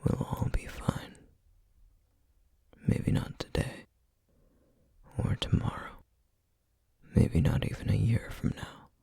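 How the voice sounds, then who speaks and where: A man playing a protective, duty-bound flame demon in an ASMR roleplay, speaking in a hushed, gravelly, halting and intense way. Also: no room sound, lightly acted. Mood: somber, reassuring. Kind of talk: ASMR roleplay, scripted character acting.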